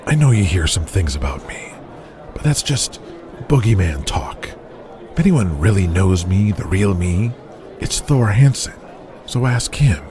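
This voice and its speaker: A man playing a polite, persuasive wrestling promoter who speaks deliberately, like a salesman, in an audio drama. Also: low-pitched, animated, background noise. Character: smooth, persuasive male wrestling promoter, courting a star. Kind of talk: audio drama character acting.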